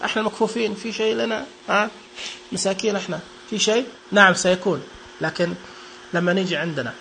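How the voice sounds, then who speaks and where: A man's voice: sits high, steady tone, fast, creaky, background noise. A young man casually walking through phone accessibility settings, chatty and joking, echoing the screen reader's words. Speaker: tech reviewer demonstrating phone accessibility settings. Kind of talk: casual spoken walkthrough.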